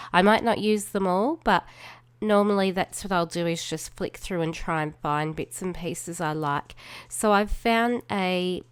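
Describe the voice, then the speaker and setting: A woman's voice: mid-range voice, smooth, no room sound. A woman with an Australian accent, a little snuffly from a cold, chatting through a crafting voiceover with plenty of ums and trailing asides. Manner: chatty, relaxed, many fillers and trailing asides. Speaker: crafting channel host.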